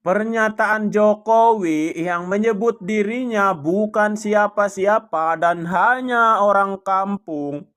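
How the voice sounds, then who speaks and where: A man delivering scripted political commentary narration in a measured, deliberate way. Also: sits high, a bit bright. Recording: faint background music.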